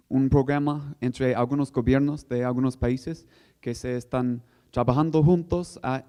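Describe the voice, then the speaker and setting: Male workshop presenter, American accent, non-native and halting, smooth mellow voice. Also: medium pitch. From United States. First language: English. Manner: halting, searching for words in non-native speech. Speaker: guest speaker at a technical workshop. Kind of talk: instructional presentation.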